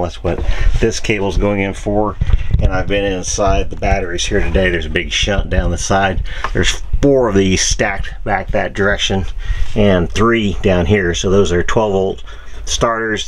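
A man in his fifties with a very low, deep voice gives a chatty walkthrough of a sailboat. He talks into a handheld camera mic as he points out parts, with faint noise in the background. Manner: chatty, pointing things out as he goes. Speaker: sailing vlogger. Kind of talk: casual show-and-tell vlog. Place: aboard a sailboat, cabin and deck.

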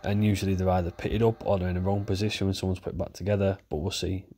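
A man's voice: low voice, racing. A man with a stuffy, nasal voice from a cold, chatting casually as he walks through a repair. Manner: chatty, relaxed, talks while working with hands. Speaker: repair video host.

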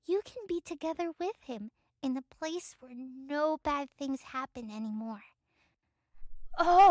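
A childlike voice telling a Bible lesson to young listeners in simple, expressive scripted speech, as the host of a children's show. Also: sits high, dry recording, clean studio sound. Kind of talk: animated scripted storytelling and teaching for children.

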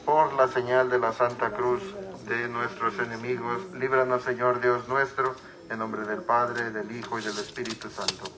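A man with a Mexican accent leading rosary prayers at an outdoor street altar, reciting in a steady, even chant. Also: mid-range voice, moderate pace, a crowd in the background, low-quality recording. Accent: Mexican Spanish. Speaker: prayer leader. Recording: congregation voices around the mic.

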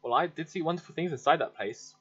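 A man with a husky voice talks through a game casually, as a gaming video maker would. He halts and thinks aloud, and the recording is slightly dull and lo-fi. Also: a little high, expressive, quick pace, a bit bright, faint music behind. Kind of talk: casual gameplay commentary. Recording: dull, lo-fi mic.

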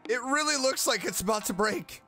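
A woman's voice: fairly high pitch, animated, a little fast. A woman giving casual commentary as she streams a video game. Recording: loud game music behind.

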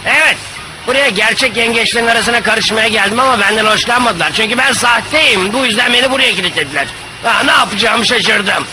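Very high, whiny little cartoon crab played by a man. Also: fairly quick, natural voice. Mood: glum, self-pitying. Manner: whiny, melodramatic complaining. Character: whiny, touchy male imitation crab, locked away and self-pitying. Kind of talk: animated cartoon dub. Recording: clearly noisy cartoon soundtrack.

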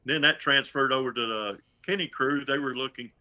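A man's voice: medium pitch, a little fast, sharp tone. An older man speaking as an interview guest over a video call from home, easygoing and folksy with chuckles. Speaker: guest, concert road crew member. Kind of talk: casual interview chat. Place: home room. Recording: dull video call audio.

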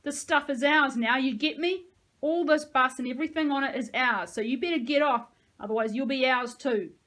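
Woman doing a bullying young gang leader guy, shouty and leering, pitched up loud. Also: a little fast. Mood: menacing shout. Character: brutal swaggering young male gang leader.

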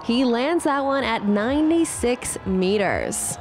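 A woman giving scripted sports highlights commentary as a voice-over. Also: expressive, slow, music in the background. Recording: clear ambient event noise behind voice.

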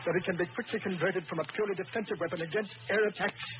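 An actor playing a solemn, remorseful scientist giving dire warnings deliberately in a 1930s radio drama. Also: male voice, sits high, fairly even intonation, close to an everyday voice. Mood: grave. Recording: noisy, muffled 1930s radio recording.

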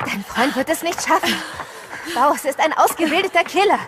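An actress playing a tough, urgent witness on the run in a German audio drama, speaking tersely. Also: female voice, sits high, lively intonation, brisk. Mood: tense, urgent. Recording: clearly noisy ambient effects behind voice.

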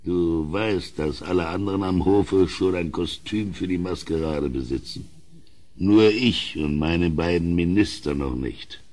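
A man's voice: low voice, a little slow, darkish tone. An actor playing a cruel, drunken king in a German audio drama, domineering and mocking as he commands. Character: cruel, drunken, bullying king, given to loud mirth.

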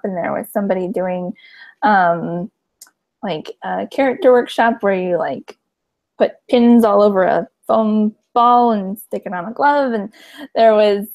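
Young woman interviewer on an earbud mic. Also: medium pitch. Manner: relaxed, slightly hesitant, restarts sentences. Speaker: interviewer host. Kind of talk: casual interview chat. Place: home room. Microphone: earbud headset on video call.

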